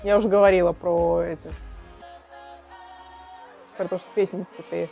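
A woman's voice: lowish voice, flat delivery, normal speed, creaky, muffled recording, faint music behind. A young adult woman chatting casually as she reacts to a video in a room at home. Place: small room at home with bookshelves.

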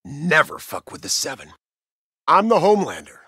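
An actor playing an arrogant, menacing caped superhero in a video game, smug and domineering. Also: male voice, fairly high pitch, very animated, normal speed, bright, forceful tone, slightly rough, dry recording, clean studio sound, full character voice. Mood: smug menace.

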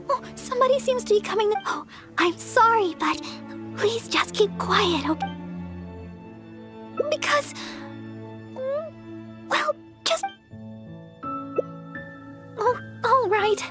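Very high, sweet, timid girl. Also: expressive, takes it slowly, long pauses, music in the background, exaggerated voice. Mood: anxious, pleading. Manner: soft, hesitant, polite. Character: sweet, gentle, timid teenage girl church healer. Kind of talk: anime-style video game acting.

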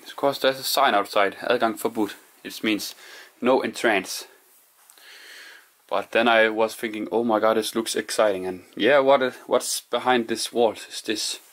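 A man narrating quietly to camera as he explores an abandoned house, musing in hushed. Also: fairly low pitch, a little slow. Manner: hushed, musing, non-native English phrasing. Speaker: urban explorer vlogger. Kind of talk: casual narration while exploring.

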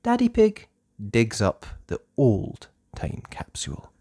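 Woman reading a slow, animated children's story. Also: very deep voice, husky, dry recording, clean studio sound. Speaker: storytime host and narrator. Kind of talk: children's story read aloud.

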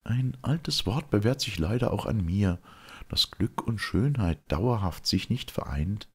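A man's voice: fairly low pitch, animated, measured pace, a little mellow, breathy edge, full character voice. A man voicing a sorrowful, resigned noble queen and mother, lamenting solemnly in verse. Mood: grieving.

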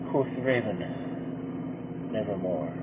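Slow dramatic male reader, tinny audio. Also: on the low side. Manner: slow, theatrical delivery that swells loud in the narrator's pleas. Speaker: poetry reader. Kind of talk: dramatic poem reading. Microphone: poor-quality mic. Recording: tinny phone-like sound with loud hum.

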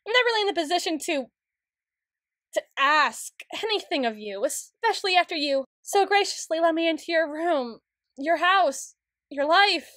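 A woman playing a flustered, prim, studious young tsundere in an ASMR roleplay, speaking in halting, stammering, formal sentences. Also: extremely high pitch, expressive, a bit bright, no room sound, studio quality. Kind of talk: ASMR roleplay, acted monologue.

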